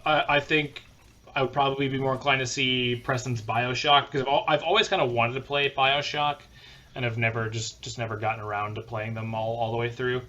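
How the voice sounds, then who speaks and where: A man's voice: medium pitch, steady tone, fairly quick, bright, forceful tone. A man hosting a podcast in casual conversation, on a slightly muffled recording. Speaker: podcast host. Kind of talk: casual chat.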